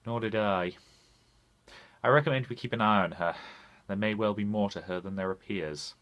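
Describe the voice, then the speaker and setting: A man's voice: on the low side, moderate pace, dull audio, close to an everyday voice. A man playing a knowing spirit companion, formal and dryly teasing, in an audio story. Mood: calm, knowing. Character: sentient soul companion, dry, formal, knowing male spirit.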